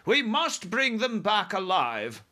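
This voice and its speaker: A man voicing a composed, commanding queen in a storybook, regal, firm and measured. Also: high voice, wildly swooping pitch, sharp tone, voice pushed up, heavily acted. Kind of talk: storybook character voice.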